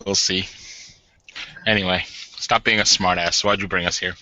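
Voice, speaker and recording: Male player as teasing, newly married werewolf warden, blunt tabletop game character. Low-pitched and very bright, lo-fi capture. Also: fairly even intonation, normal speed, dry recording. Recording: dull lo-fi audio.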